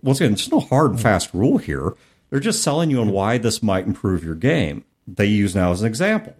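A man co-hosting a podcast in a casual, explanatory way, animated and expressive as he talks and reads aloud. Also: on the low side, brisk, husky. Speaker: podcast co-host. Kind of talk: casual chat about tabletop gaming, reading passages aloud.

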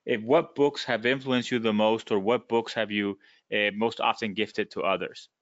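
A man's voice: fairly low pitch, steady tone, moderate pace, fairly bright tone, dry recording, highs cut off. A male physician hosting a medical podcast, speaking courteously and with frequent fillers as he thanks and questions his guest. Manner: courteous, uses many fillers. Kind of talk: podcast interview.

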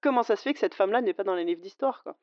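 A woman's voice: medium pitch, rapid-fire, dry recording, lo-fi sound. A woman in casual conversation who talks chattily with frequent hesitations and laughs easily. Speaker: podcast co-host.

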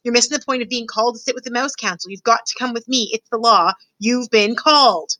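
Lady putting on a bossy little boy mouse, high and huffy, crisp dry sound. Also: brisk, sharp tone, no room sound. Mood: exasperated. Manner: exasperated, nagging, blunt. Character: brash impatient young male mouse.

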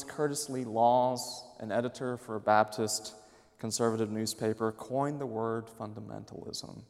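A man in his late thirties from Mississippi, a seminary professor and minister, giving a measured, thoughtful personal talk to an audience. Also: lowish voice, gravelly. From Mississippi, USA. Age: late 30s. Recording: reverberant hall.

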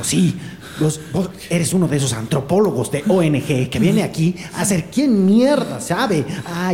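An actor playing a jittery, accusing henchman in a radio drama, rambling and repeating himself aggressively with a Bolivian accent. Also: on the high side. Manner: rambling, repetitive, aggressive interrogation. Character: jittery, accusing male henchman of a crooked butcher. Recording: long reverb, background noise and ambience.

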